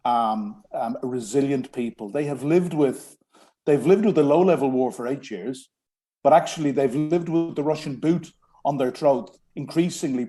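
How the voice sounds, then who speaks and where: Dublin irish guy on a radio call-in, warm low tone, keeps restarting sentences. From Ireland. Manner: earnest, lots of hesitations and restarts. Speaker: guest, former strategic communications advisor. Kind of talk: radio interview.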